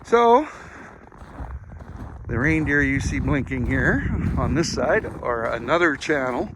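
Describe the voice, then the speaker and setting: Casting: easygoing man, unhurried homeowner walkthrough, rambling and casual, noisy outdoor recording. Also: mid-range voice, very animated. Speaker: homeowner giving a tour of his Christmas light display. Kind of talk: casual unscripted tour narration. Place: outdoors on a rural acreage.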